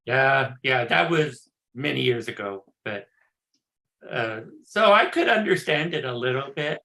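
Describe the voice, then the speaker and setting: An older male professor chatting casually on a video call before his talk, relaxed and quick to laugh. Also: mid-range voice, vocal fry. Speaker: professor, guest speaker.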